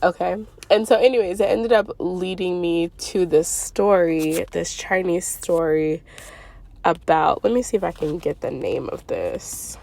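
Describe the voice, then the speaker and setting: A woman's voice: lowish voice, unhurried, creaky, darkish tone. A woman hosting a spiritual channel, talking in a chatty, rambling way with many fillers. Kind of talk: casual spiritual talk.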